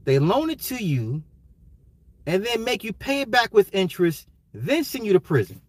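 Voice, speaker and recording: An American man ranting casually on a livestream, emphatic and slangy, repeating his phrases, his voice getting slightly hoarse. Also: high-pitched, sing-song, moderate pace, dry recording. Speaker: livestream host. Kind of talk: casual opinionated livestream rant.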